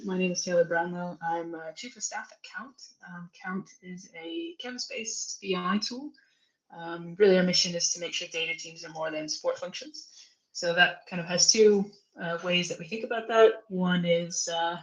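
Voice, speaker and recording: A woman introduces a webinar in a roomy, echoing space. Her voice sits a little low for a woman, and she speaks in a casual, chatty, hesitant manner as host. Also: highs cut off. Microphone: video call.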